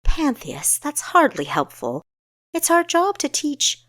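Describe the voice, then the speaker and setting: A woman's voice: high-pitched, wildly swooping pitch, slow pace, fairly bright tone, no echo, studio quality, pitched above the performer's own voice, heavily acted. A woman voicing an earnest, dutiful young female soldier in an audiobook, with an admonishing sisterly manner. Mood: reproving.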